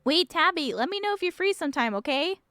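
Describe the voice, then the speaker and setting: A woman's voice: very high voice, big pitch swings, fast, bright, forceful tone, no echo, clean studio sound, pitched above the performer's own voice, exaggerated voice. A woman voicing a chipper, easygoing young woman in a visual novel, breezy and chatty. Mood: chipper, apologetic.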